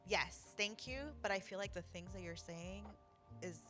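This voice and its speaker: A woman's voice: mid-range voice, steady tone, moderate pace, sharp tone, faint music behind. A woman co-hosting a casual talk show, effusive and affirming, often circling back to rephrase as she searches for words. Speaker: podcast co-host. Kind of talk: casual, reflective conversation.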